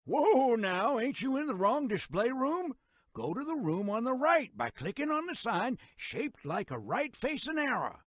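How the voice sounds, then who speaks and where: An actor voicing a folksy, excitable old-timer railroad guide in a video game, with a rural American accent, a creaky voice and a colloquial, theatrical manner. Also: male voice, very high voice, unhurried, no room sound, clean studio sound, heavily acted. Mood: surprised, teasing.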